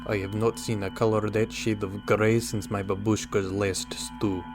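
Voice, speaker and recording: A man playing a droll, deadpan starship lieutenant in a sci-fi radio drama. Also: on the low side, measured pace, vocal fry, music in the background.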